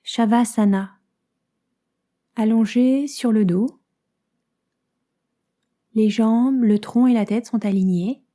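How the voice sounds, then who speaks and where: A woman leads a guided relaxation. Her voice is fairly high yet very dark and velvety, softening into breath at the ends of phrases. She gives gentle, soothing instructions and leaves long pauses between them. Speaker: yoga nidra teacher guiding a relaxation session. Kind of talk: guided meditation.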